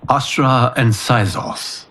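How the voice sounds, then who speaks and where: An actor playing a wise, solemn divine protector in a video game cutscene, measured and formal. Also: male voice, mid-range voice, fairly even intonation, a bit bright. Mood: calm, solemn. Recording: music under the voice. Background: cinematic music.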